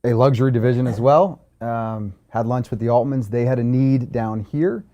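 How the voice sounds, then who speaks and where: A man's voice: medium pitch, steady tone, normal speed, warm tone, highs cut off. A man, a real estate agent, speaking in a confident, matter-of-fact way in an interview. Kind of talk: interview conversation.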